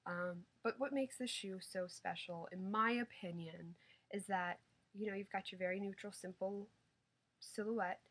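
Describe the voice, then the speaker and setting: A young woman with laryngitis, sounding hoarse and nasal, gushing excitedly in a home unboxing vlog recorded on a webcam. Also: medium pitch. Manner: excited, gushing, repeats words. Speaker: vlogger. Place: small room at home, indoors.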